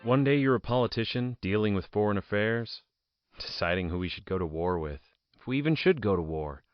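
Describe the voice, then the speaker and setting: A man playing a proud, bitter ousted politician in an audio drama, telling his story in a deliberate, theatrical monologue with dramatic pauses. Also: lowish voice, lively intonation, dry recording, dull audio, soft background music, natural voice. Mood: grim, explanatory.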